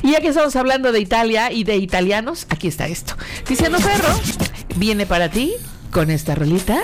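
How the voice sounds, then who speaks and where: A woman's voice: fairly high pitch, sing-song, fairly quick, music in the background. A woman with a Mexican accent hosting a radio show, talking informally and directly to the listener with rhetorical questions. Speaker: radio host. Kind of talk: chatty informal radio monologue addressed to the listener.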